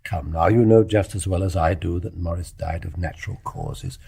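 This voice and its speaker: An actor playing a brisk, dismissive English family doctor in a period radio drama, with educated, scoffing formality. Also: male voice, deep voice, fairly even intonation, creaky, dark tone, slightly rough, exaggerated voice. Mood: scornful. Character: brusque, dismissive family doctor, defensive about his judgement. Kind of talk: 1920s stage play as radio drama.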